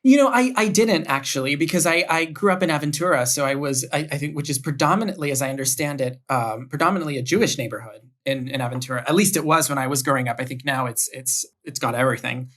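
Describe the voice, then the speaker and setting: A man's voice: on the high side, fast, hardly any pauses, fairly bright tone. A young man from Miami, a podcast guest, talking through his background in a thoughtful way and often restarting his sentences. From Miami, United States. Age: young adult. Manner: thoughtful, with frequent restarts and repeated words. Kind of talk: conversational interview.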